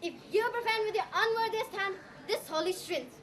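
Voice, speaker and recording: A woman's voice: extremely high pitch, normal speed, a bit bright. A young woman acting a bossy, impatient young woman in a comic school Shakespeare parody, projecting on stage. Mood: demanding, theatrical. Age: young adult. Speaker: student actor in a school play. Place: school stage, recorded from afar. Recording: distant stage recording with background noise.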